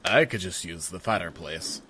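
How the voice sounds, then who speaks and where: A man's voice: low-pitched, moderate pace, pushed and bright, slight background noise. A man playing a laconic, teasing rogue in a fantasy audio drama, speaking in brief, casual phrases. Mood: dry, teasing.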